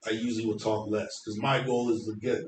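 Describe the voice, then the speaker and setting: A man with a deep voice talks at ease, confident and explaining at length, in an interview chat. The sound is roomy with echo off the walls, and a faint ambient hum sits underneath. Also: flat delivery, fast. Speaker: hairstylist guest.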